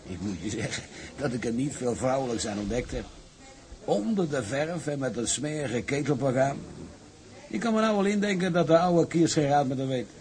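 A man's voice: mid-range voice, animated, moderate pace, a little mellow, natural voice. An actor playing an easygoing, talkative seaman in a radio drama, wry and reassuring. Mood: wry, probing. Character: easygoing, talkative male seaman, pragmatic confidant. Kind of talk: Dutch radio drama acting.

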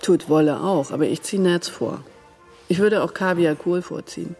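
A woman's voice: lowish voice, moderate pace, dark tone, faint music behind, lightly acted. An actress playing a shrewd, worldly German woman agent in a radio crime drama, cool and matter-of-fact in manner. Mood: poised, assured.

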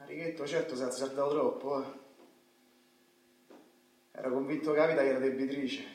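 A man's voice: medium pitch, steady tone, a little fast, lightly acted. An actor playing an agitated, haunted Roman street man in a radio play, with a Roman accent and intense colloquial delivery that swings in volume. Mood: shaken, grim. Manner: intense, colloquial, swinging between murmurs and shouts. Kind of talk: radio theatre monologue. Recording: very echoey, distant mic, music behind.

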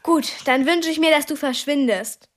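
A woman's voice: high voice, normal speed, no room sound, studio quality, natural voice. A woman playing an earnest, determined thirteen-year-old girl in a radio play, speaking in an urgent, direct way. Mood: commanding.

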